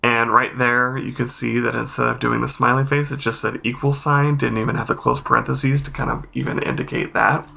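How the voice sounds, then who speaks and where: A man's voice: mid-range voice, flat delivery, fairly quick, creaky, hardly any pauses, pushed and bright. A man hosting a game accessibility review, explaining things informally and thinking aloud as he goes. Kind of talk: informal spoken walkthrough and review commentary.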